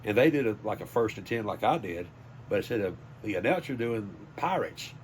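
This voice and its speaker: A man's voice: on the low side, expressive, brisk, a little hiss or hum. An older man with a Southern US accent, telling stories in a casual, folksy way as a sports announcer being interviewed. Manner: folksy, anecdotal. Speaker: high school sports PA announcer.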